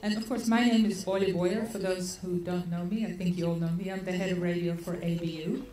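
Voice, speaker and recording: A woman's voice: fairly low pitch, fairly even intonation, a little fast, dark tone. A woman from Australia, an event organizer giving chatty opening remarks at a seminar, laughing often and using many fillers. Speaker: event organizer introducing speakers. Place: conference hall. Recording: echoey hall, far from the mic, noisy.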